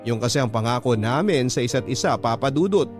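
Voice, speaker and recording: A man reading a scripted first-person letter as a radio drama narrator, in a measured storytelling manner. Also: mid-range voice, lively intonation, soft background music.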